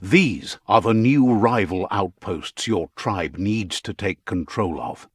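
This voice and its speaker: Deep, swooping storybook game narrator. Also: male voice, expressive, breathy edge, dry recording, studio quality, heavily acted. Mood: wry, instructive. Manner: theatrical, measured, whimsical. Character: wry, philosophical storybook narrator of an animal fable world.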